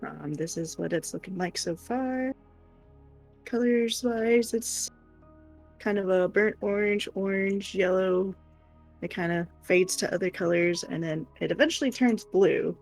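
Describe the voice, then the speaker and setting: A woman's voice: medium pitch, a little slow, vocal fry, darkish tone, faint music behind. A woman chatting casually on a craft livestream in an informal, tentative way. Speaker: co-host doing crochet. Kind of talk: casual chat.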